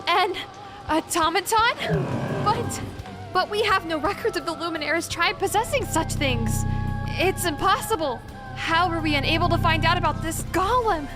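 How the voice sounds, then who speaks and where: Woman acting a high-voiced, bookish young woman explorer. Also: normal speed. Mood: astonished, puzzled. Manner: analytical, wordy, stammers when rattled. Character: bookish, flustered young woman explorer who analyses ancient ruins. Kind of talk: audio drama acting. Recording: music under the voice.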